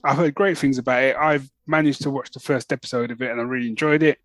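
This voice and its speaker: A man hosting a podcast, chatting and ranting casually in an animated, storytelling way. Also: mid-range voice, fast, no room sound. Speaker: podcast host.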